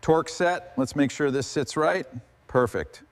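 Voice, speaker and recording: A man with a moderately high voice comments on his restoration work step by step. He speaks in short, muttered remarks, as a mechanic talking through each task. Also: unhurried. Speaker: motorcycle restorer narrating the work.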